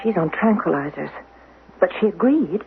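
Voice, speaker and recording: A woman's voice: lowish voice, animated, moderate pace, gravelly. An actress playing an anxious, despairing mother in a 1970s radio drama, speaking in a tense, fretful and dramatic way. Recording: narrowband, faintly noisy 1970s radio recording.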